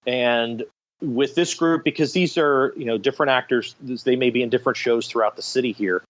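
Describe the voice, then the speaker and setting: Male theatre director as interviewee, medium pitch, conversational explainer, slightly lo-fi recording. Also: moderate pace. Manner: conversational, explains step by step with examples.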